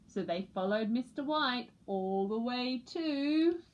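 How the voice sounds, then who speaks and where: Slow, very animated woman storyteller. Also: a little high, muffled recording, slight background noise. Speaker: storybook reader for a school. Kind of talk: expressive children's story narration.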